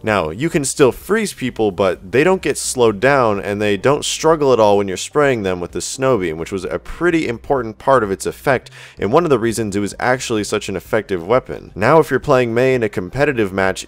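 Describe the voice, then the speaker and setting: A man hosting a gaming news video, explaining updates directly to viewers in scripted narration. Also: fairly low pitch. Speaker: YouTube gaming news host.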